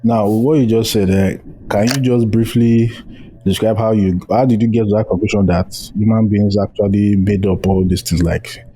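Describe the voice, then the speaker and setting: Male host, young, Nigerian accent, mellow tone, informal interview style, faintly noisy online audio. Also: lowish voice, steady tone, normal speed. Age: young adult. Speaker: podcast host. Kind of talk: casual audio-room interview. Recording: faint noise, live online audio chat.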